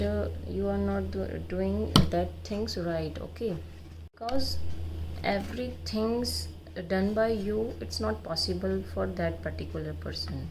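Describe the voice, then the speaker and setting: Slow, halting Indian woman vlogger. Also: on the low side, warm tone, slight background noise, rough recording. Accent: Indian English. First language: not English. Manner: halting, many "uh" fillers and restarts. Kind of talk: unscripted advice monologue.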